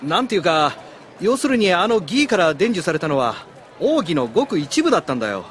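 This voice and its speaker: A man's voice: high voice, very animated, a little fast, fairly bright tone, slight background noise. An actor playing a friendly, considerate young swordsman with a casual, apologetic manner in an anime audio drama. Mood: apologetic, explaining.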